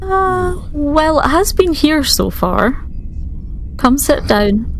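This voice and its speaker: A woman voicing a calm, dry, matter-of-fact starship doctor in a tabletop roleplay. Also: high voice, slow pace, a little hiss or hum, pitched above the performer's own voice, exaggerated voice. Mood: dry, professional.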